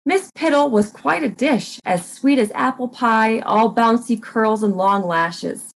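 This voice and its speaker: A woman narrating a comic murder mystery in a deliberate, deadpan dramatic reading. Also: on the high side, creaky, poor audio.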